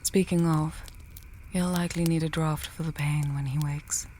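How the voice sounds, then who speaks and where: A woman playing an earnest, scholarly female mage in a fantasy audio drama, measured and thinking aloud, dryly testy. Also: sits low, fairly even intonation, dark tone, slight background noise, close to an everyday voice. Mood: thoughtful, practical.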